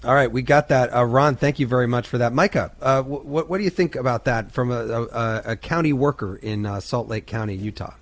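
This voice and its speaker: A man hosting a call-in radio show, briskly steering the conversation between callers and guests. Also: mid-range voice, no room sound. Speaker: radio host.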